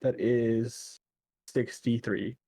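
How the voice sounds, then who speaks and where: A man's voice: medium pitch, fairly even intonation, extremely slow, dark tone, slightly rough, no echo. A man with a Chicago accent co-hosting a casual podcast, playful and laughing often. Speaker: podcast co-host. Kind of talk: casual chat.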